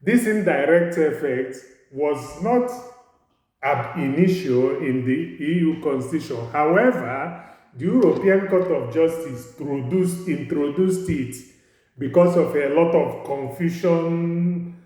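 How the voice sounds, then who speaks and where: A man with a Nigerian English accent giving a university law lecture, halting and repeating words as he explains. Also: fairly high pitch, darkish tone. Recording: very echoey room.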